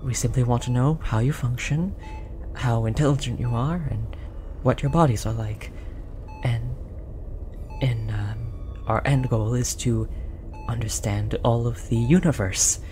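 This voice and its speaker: A performer voicing a gentle, apologetic alien researcher, speaking softly and hesitantly in an earnest, reassuring roleplay style. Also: mid-range voice, a little slow, faint music behind, close to an everyday voice. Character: tall, apologetic, gentle alien researcher soothing an abducted captive. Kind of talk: roleplay audio drama, soft comforting delivery. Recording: audible background noise, monitor beeping.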